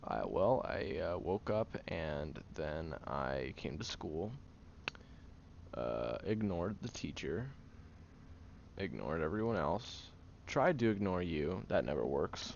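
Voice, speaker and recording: Audio roleplay: male actor as tired tsundere guy, gruff but secretly caring, halting, creaky voice with lively swings in pitch. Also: heavily acted. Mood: flustered. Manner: halting, sighing, grumpy and flustered. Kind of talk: intimate audio roleplay, acted monologue to the listener. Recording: dull lo-fi, clear background noise.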